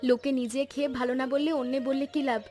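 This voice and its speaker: A woman voicing a modest, practical, kind village wife in a cartoon story, matter-of-fact and gently teasing. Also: high voice, little pitch movement, fast, faint music behind, close to an everyday voice. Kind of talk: Bengali cartoon story voice acting.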